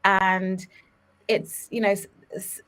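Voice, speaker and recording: A woman, talking as an interview guest in a relaxed, reflective way with many fillers, over a video call. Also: mid-range voice, slow pace, low-quality recording. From Uganda. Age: 30s. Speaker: guest, startup co-founder. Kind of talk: casual interview talk.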